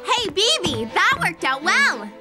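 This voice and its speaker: Gushing cartoon schoolgirl reporter: piping high, slow, dramatic, loud music underneath. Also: very animated, full character voice. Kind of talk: animated cartoon dub acting.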